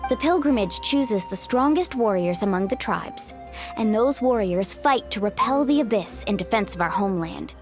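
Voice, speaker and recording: A woman's voice: medium pitch, expressive, normal speed, natural voice. A woman playing an upbeat, encouraging young woman warrior in an anime game, with a youthful, confident voice. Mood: proud. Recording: music behind.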